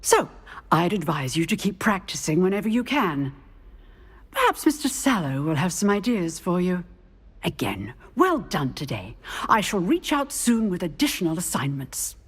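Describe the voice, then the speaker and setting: An actress playing a stern, proud old witch professor in a game, with a British accent and a husky, theatrical, commanding delivery. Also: fairly low pitch, sing-song, moderate pace, big-room sound. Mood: demanding, approving. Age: older.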